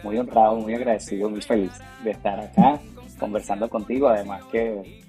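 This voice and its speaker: A man's voice: lowish voice, flat delivery, a little fast, warm tone, faint music behind. A Venezuelan man in his thirties, a singer-songwriter being interviewed, answering in a gracious, earnest way with many repeated words and fillers. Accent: Venezuelan Spanish. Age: 30s.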